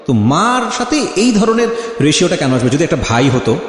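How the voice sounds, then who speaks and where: A man's voice: a little high, big pitch swings, normal speed. A man hosting a life-story interview, speaking emphatically and repeating phrases for stress as he questions and addresses listeners. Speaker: show host and interviewer. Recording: very echoey, long reverb.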